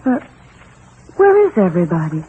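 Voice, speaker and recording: Timid wife, tinny old radio. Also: female voice, lowish voice, huge pitch swings, moderate pace, husky, full character voice. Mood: meek, curious. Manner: hesitant, faltering, meek questions. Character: timid, fearful, placating wife. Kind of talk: 1940s radio drama acting. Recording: tinny, noisy 1940s radio recording.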